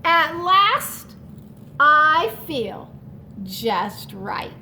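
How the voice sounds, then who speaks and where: A chilly, cheerful storybook snowman, put on by a woman reading aloud. The voice is high and slow, with exclamations and drawn-out words, swinging widely in pitch. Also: big pitch swings, sharp tone, a little hiss or hum, full character voice. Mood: pleased, dramatic.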